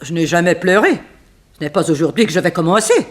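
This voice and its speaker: A woman playing a composed, unsentimental figure in a 1960s French radio mystery, telling things dramatically with weighted pauses. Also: lowish voice, very animated. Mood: cool. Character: composed, unsentimental woman recounting a family tragedy. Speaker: actress in a radio serial.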